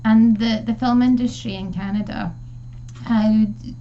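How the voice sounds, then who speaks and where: A woman's voice: fairly high pitch, steady tone, a little slow. A woman hosting an interview show, asking friendly questions with some fillers. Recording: dull, slightly noisy line.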